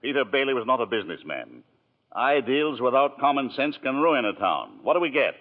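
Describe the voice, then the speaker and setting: A greedy old banker, cold and contemptuous. His voice is of middling pitch and sneering. It comes through a thin, tinny old radio broadcast. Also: big pitch swings, fairly quick. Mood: scornful. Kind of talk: 1940s radio acting.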